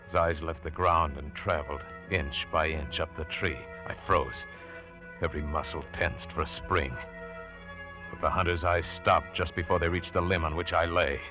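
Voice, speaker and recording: An actor playing a hunted man who narrates his desperate survival with intense, suspenseful delivery in 1940s radio drama style. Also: very deep voice. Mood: tense, watchful. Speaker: narrator and protagonist. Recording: dull vintage radio recording, music behind. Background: dramatic music.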